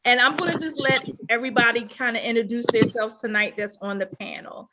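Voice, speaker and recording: A woman's voice: a little high, fairly even intonation, a little fast, very noisy. A woman in her fifties hosting a casual relationship talk show on the radio. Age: 50s.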